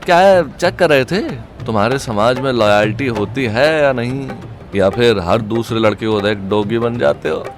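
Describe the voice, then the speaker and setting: A man's voice: medium pitch, animated, measured pace, over music. Man playing a swooping, cheeky sidekick. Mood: cheeky, nosy. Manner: teasing, swooping, exclamatory. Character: crude, joking young male sidekick, overexcited, provincial. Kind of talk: Hindi audio comedy-horror drama acting.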